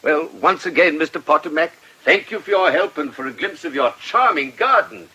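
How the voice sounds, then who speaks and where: A shrewd English gentleman investigator in a radio drama. His bright voice has a husky edge and moves in lively, precise, urbane phrases, quietly sly beneath formal courtesy. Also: on the high side, normal speed, muffled recording, heavily acted. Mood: courteous. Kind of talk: British radio drama acting.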